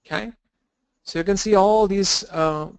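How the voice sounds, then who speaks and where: A man with a cold and a blocked nose, teaching an online training lesson with halting fillers and restarts. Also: sits high, smooth. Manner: halting, restarts and fillers, walks through screens. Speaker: instructor.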